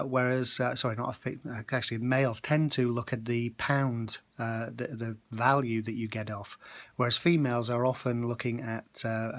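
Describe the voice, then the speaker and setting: A man's voice: mid-range voice, fairly bright tone. A man presenting informal social media advice in a conversational, explanatory way, hesitating mid-phrase as he goes.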